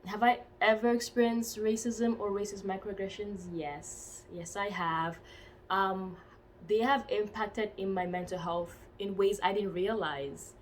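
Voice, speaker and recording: A woman's voice: medium pitch, fairly even intonation, measured pace. A woman in her twenties answering interview questions in a casual, thoughtful way. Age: 20s. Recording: faint background noise.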